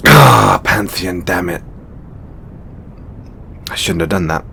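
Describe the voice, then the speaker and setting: A man playing an affectionate, stressed god and boyfriend in an intimate audio roleplay, speaking in a halting, softly playful way. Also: low-pitched, expressive, creaky, a little hiss or hum, exaggerated voice. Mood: weary, exasperated.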